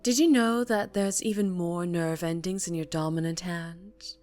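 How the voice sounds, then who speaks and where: A dangerous, seductive female mafia boss, acted by a woman in an ASMR roleplay. She speaks slowly in a lowish voice, teasing and threatening with cruel relish, and often sinks into a breathy whisper. Music plays behind her. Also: fairly even intonation, a little mellow, lightly acted. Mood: seductive menace.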